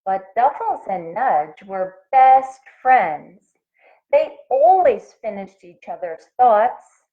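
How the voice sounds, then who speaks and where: A woman reading a picture book aloud to children like a schoolteacher, with animated, deliberate phrasing. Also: mid-range voice, creaky. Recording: tinny phone-like audio.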